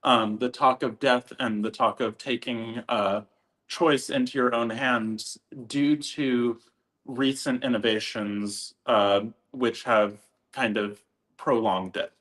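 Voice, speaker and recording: A man moderating a discussion, phrasing his thoughts in a measured, wordy and hesitant way. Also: medium pitch, steady tone, a bit bright.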